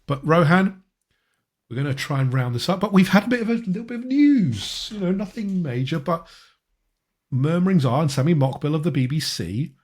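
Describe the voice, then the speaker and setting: A man hosting a football podcast in casual, conversational talk, with a husky voice and a halting way of repeating words. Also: fairly high pitch, lively intonation.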